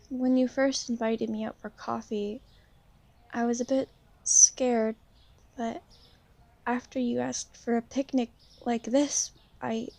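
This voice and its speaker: A woman playing a shy, gentle young vampire in an ASMR roleplay, speaking softly and hesitantly to the listener. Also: fairly high pitch, dry recording. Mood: shy, fond. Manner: hesitant, soft-spoken, gently halting. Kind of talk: ASMR roleplay, soft intimate talk to the listener.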